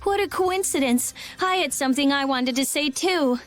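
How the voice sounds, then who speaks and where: A woman voicing a sharp-tongued, short-tempered teenage girl in a video game. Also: high voice, normal speed, close to an everyday voice. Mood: irritated. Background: background music.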